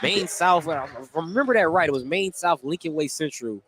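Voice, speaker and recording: A man's voice: a little high, a little fast. A man calling live basketball play-by-play as a sports announcer from a gym. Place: indoor school basketball gym. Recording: noisy gym background.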